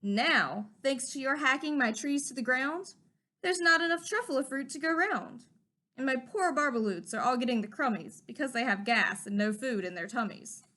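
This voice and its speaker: A small, indignant forest creature scolds in a high, bright voice that rises and falls with feeling, as a woman reading a storybook aloud puts him on. He lectures and preaches, sure he is right. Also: animated, moderate pace. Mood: scolding.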